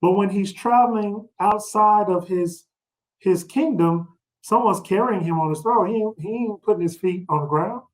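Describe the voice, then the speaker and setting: A man's voice: high-pitched, normal speed, rough recording. A man leading an informal scripture study, reading verses aloud and explaining them, often checking in with questions. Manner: explanatory, prompts listeners with questions and "right?".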